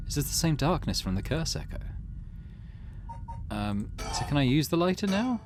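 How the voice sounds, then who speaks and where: A man voicing a wary, excitable young hero of a visual novel, full of questions. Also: on the high side, normal speed, background noise, pitched above the performer's own voice. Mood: startled. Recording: music behind the voice.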